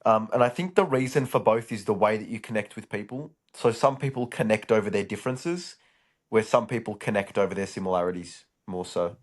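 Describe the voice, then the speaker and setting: A man's voice: fairly low pitch, fairly quick. A man co-hosting a podcast in a laid-back casual chat, teasing and bantering with his co-hosts. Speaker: podcast co-host.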